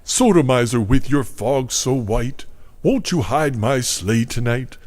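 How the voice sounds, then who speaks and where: A man's voice: medium pitch, lively intonation, unhurried, a little mellow, no room sound. A man playing an aggrieved, earnest old Santa Claus in a comic audio play, speaking theatrically. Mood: earnest pleading. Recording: clearly noisy background.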